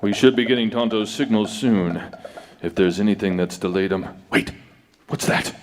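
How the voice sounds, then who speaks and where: A man's voice: lowish voice, moderate pace, lightly acted. A man playing a heroic cowboy lawman in a declamatory old-time radio drama style. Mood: alert, excited.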